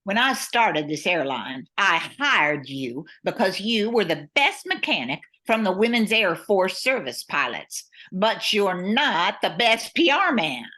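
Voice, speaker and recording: A woman's voice: mid-range voice, big pitch swings, measured pace, few pauses, sharp tone, full character voice. A woman playing a capable but worried airline owner and pilot, reading earnestly in a radio play. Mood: firm. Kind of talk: old-time radio play acting.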